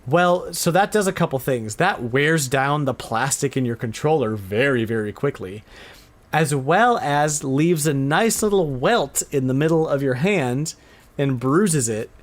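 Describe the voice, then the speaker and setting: A man hosts a podcast about retro games in an easy, conversational style. He sounds enthusiastic, talking in a mid-pitched voice as if among friends. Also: a little slow. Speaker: podcast host. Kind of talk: casual retro gaming podcast chat.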